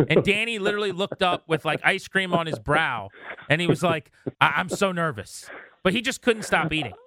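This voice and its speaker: A man hosts casual sports talk with a bright, punchy voice pitched slightly high. He is chummy and enthusiastic. The sound is close and very dry. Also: lively intonation, normal speed, no echo. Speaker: sports radio host.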